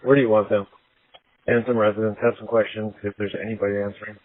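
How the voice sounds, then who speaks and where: Male police officer, flat delivery, terse radio calls through a tinny, narrow channel. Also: lowish voice, quick pace, dry recording.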